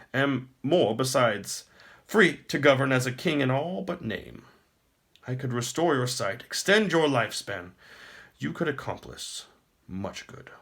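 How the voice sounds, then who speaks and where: A man voicing a gloating, smooth-talking wizard emperor in a storybook, theatrical, grandly formal and mockingly courteous. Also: medium pitch, fairly bright tone, voice pushed up. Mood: persuasive. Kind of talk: audiobook character acting.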